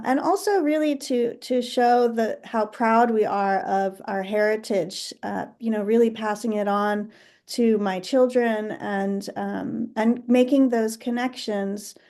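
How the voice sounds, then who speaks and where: A middle-aged woman from New Jersey, a guest artist in a conversational interview, speaking thoughtfully with many pauses. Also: mid-range voice, fairly even intonation, dark tone. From United States (New Jersey).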